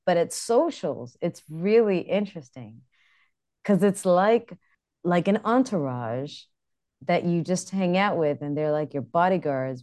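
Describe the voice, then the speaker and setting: A woman with an American accent, co-hosting a podcast in casual, animated chat. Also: low voice. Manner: chatty, animated storytelling with frequent laughs. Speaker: podcast co-host.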